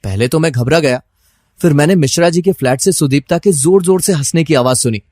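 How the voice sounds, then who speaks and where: A man's voice: a little high, brisk, a little mellow, no room sound, studio quality, lightly acted. A man acting as a troubled, suspicious husband who narrates his own story in a dramatized first-person style.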